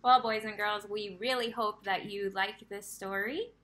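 A woman's voice: mid-range voice, steady tone, measured pace, a bit bright. A woman in her thirties reading a picture book aloud expressively for children. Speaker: read-aloud storyteller.

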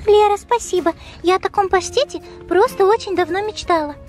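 A woman voicing a squeaky pampered girl cat. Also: brisk, darkish tone, soft background music. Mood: delighted.

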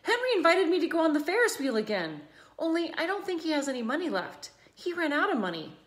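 A woman's voice: very high-pitched, very animated, fast talker, voice pushed up. A woman playing an eager young girl. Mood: pleading.

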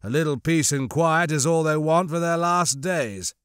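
A man playing a cold, scheming crime lord in an audiobook, mocking and disdainful, with menacingly measured delivery. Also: fairly high pitch, a bit bright, clean tone, dry recording, studio quality, natural voice. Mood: cold, calculating. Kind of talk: audiobook character acting.

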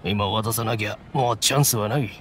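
A man playing an earnest, resigned mercenary with a rough, plain-spoken manner in a Japanese anime game. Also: lowish voice, a little fast, a bit bright, slight background noise, lightly acted.

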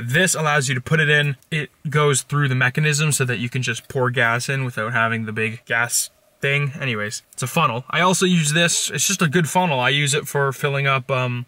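A teenage guy from Canada chats loosely about his stuff, his voice sitting a touch high for a young man. He rambles with little asides and fillers, recorded close on a handheld camera inside a parked truck cab. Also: sharp tone, dry recording. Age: teens. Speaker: vlogger. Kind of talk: casual show-and-tell vlog.

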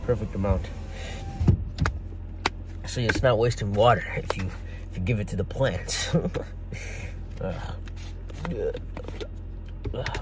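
Young male fitness vlogger, low-ish voice, loose and jokey, talks in stops and starts. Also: slow, long pauses, noisy background. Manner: rambling, filler-heavy, self-correcting; laid-back, jokey. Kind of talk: casual vlog talk to camera.

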